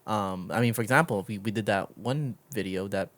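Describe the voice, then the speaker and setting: A man's voice: fairly low pitch, fairly quick. A man hosting a podcast in a casual, conversational interview style, rambling and encouraging as he talks. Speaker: podcast host.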